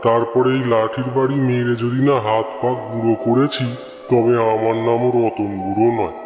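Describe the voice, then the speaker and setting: A man's voice: mid-range voice, little pitch movement, moderate pace, darkish tone, dull audio, close to an everyday voice. A man playing a grumpy, scolding old toothless village grandfather in a children's cartoon. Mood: angry, grumbling. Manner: scolding, grumbling, exclaiming. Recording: echoey reverb, music behind.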